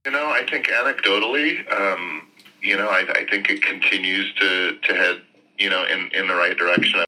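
An American man, an official, answering interview questions off the cuff in a measured, hedging, conversational way. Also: low-pitched, pushed and bright, rough recording. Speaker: school district official being interviewed.

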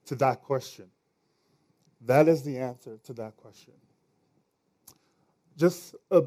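A man's voice: mid-range voice, warm tone. A man with a Nigerian accent preaching a sermon as pastor, conversational and now and then stumbling over words.

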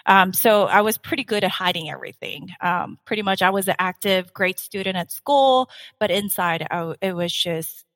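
A woman from Korea speaks as a podcast guest in a medium-pitched voice. She tells personal stories candidly, often repeating words as she goes. The recording leaves a long reverb on her voice. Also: normal speed, fairly bright tone. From South Korea. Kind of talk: conversational personal storytelling.

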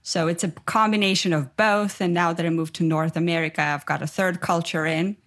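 A woman's voice: lowish voice, steady tone, fairly quick, a bit bright. A woman from Armenia who grew up in Ukraine, a life coach speaking as a guest in a casual interview in a reflective, earnest way. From Armenia, raised in Ukraine.

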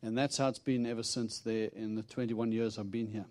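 Middle-aged South African-born preacher, Texan accent, humorous storytelling sermon, dry close sound. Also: male voice, fairly low pitch, level tone, fairly quick, darkish tone, no echo. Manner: chatty and humorous, acting out dialogue with God. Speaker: visiting preacher. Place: church.

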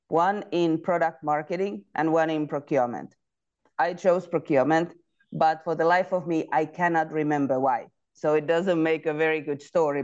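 A woman in her forties from Finland, a guest in a podcast interview, telling her story calmly and reflectively. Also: low-pitched, highs cut off. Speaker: guest, procurement executive.

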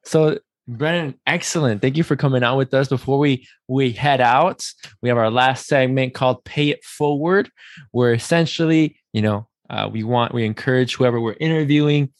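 A man with a mid-pitched voice hosts an interview podcast in a close, dry recording. He is chatty and playful, feeling his way through questions in a loose, rambling style. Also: normal speed, no echo.

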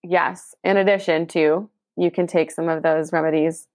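A woman's voice: low-pitched, steady tone, normal speed, darkish tone, no room sound, studio quality. A woman co-hosting a podcast, chatting agreeably and adding her own asides. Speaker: podcast co-host. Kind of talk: casual podcast chat.

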